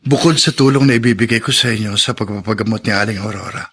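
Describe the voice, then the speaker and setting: A man's voice: lowish voice, fast, a bit bright, slightly rough. A man voicing an earnest young engineer who speaks politely in an audio drama. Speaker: voice actor.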